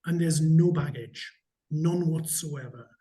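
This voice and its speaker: A middle-aged man from Newcastle with a slight Geordie accent, answering interview questions in a measured, candid way with frequent restarts. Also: fairly high pitch, dark tone. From Newcastle, England. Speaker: hotel company chief executive, interviewee.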